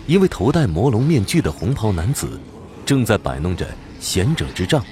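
An actor playing the storyteller of a fantasy tale, in dramatic scripted narration. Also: male voice, fairly low pitch, sing-song, normal speed, background noise. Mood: scene-setting.

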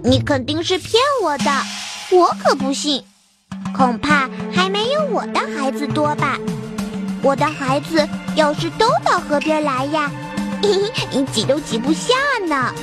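Woman voicing a cheeky little rabbit, storybook, high sing-song voice, slow. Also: animated, over loud music. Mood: coaxing. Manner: coaxing, cheeky. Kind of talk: storybook character voice.

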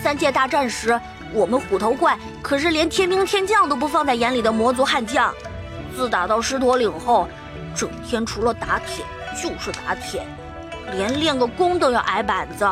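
A woman's voice: on the high side, lively intonation, normal speed, music in the background, close to an everyday voice. A woman playing a rebellious, cocky young male tiger-demon cub in an audio drama, whiny and defiant. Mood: defiant, sulky.

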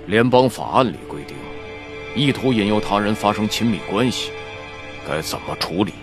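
A man's voice: on the low side, expressive, moderate pace. An actor playing a stern, commanding, wealthy middle-aged father in an audio drama. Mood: cold, decided. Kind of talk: Chinese audio drama acting. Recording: music playing behind the voice.

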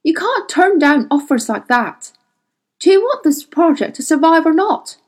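A woman's voice: a little high, expressive, measured pace. A woman reading the part of a pushy, defensive male scientist who argues insistently. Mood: pressing. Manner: pressing, argumentative.

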